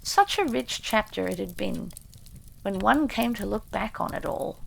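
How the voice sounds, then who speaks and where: Slow, creaky-voiced woman storyteller. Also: on the high side, rough recording. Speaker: audiobook narrator. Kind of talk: scripted storybook narration.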